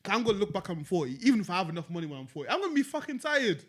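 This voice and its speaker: A man's voice: high-pitched, very animated, rapid-fire, husky. A man, a podcast co-host in casual chat, blunt and sweary as he hands out advice. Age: 20s.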